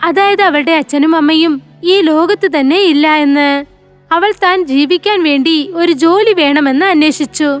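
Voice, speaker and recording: A woman's voice: high-pitched, normal speed, faint music behind. A woman narrating a scripted moral story for children.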